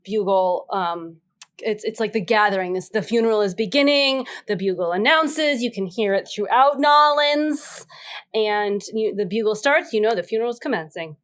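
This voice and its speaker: A woman's voice: medium pitch, normal speed, a bit bright. A woman in her thirties improvising playfully as a comedy panelist on a video call.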